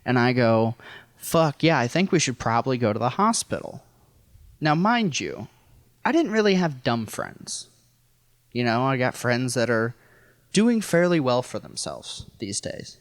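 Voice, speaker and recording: A man's voice: mid-range voice, sing-song, unhurried, no echo. An American man from rural Missouri telling a personal story casually as a podcast guest, in a wry, self-deprecating, profane way. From rural Missouri, United States.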